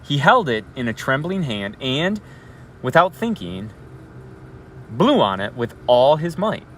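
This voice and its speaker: An animated man's voice reads a children's bedtime story with big swings in pitch. He often steps out of the tale to comment or put a question to listeners. He is outdoors, with faint traffic noise behind him. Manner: expressive, pausing to ask and comment to listeners. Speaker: elementary school educator reading a bedtime story. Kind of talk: read-aloud storybook narration with asides. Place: outdoors on a school roof at night.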